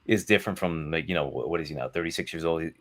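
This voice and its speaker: A man's voice: a bass voice, very fast. A man talking as a podcast guest, rambling with lots of fillers and casual swearing. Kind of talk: casual chat.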